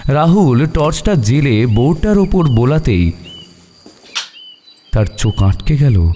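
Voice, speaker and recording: A man's voice: medium pitch, dark tone. A man narrating a scripted horror story with suspenseful, dramatic phrasing. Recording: long reverb over eerie music.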